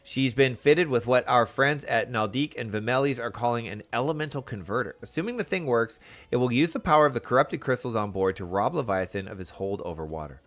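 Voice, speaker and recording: A man's voice: mid-range voice, animated, brisk, a bit bright, close to an everyday voice. Man voicing a bluff, theatrical, proud shipwright. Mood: proud, hearty.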